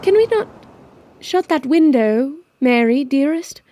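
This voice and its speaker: Woman playing a slow, high-voiced, melodramatic remorseful father. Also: animated, warm tone, a little hiss or hum. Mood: contrite, pleading. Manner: slow, melodramatic, self-pitying. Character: remorseful, stubborn, theatrical father living in a dog kennel. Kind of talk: stage-like audio drama acting.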